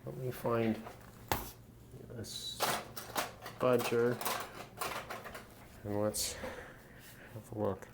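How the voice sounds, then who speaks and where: A man giving casual, unscripted commentary on a hands-on repair, halting and musing to himself as he works. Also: mid-range voice, little pitch movement. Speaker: host of a hobby radio repair video. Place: at a desk, indoors. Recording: clear background noise, handling clicks.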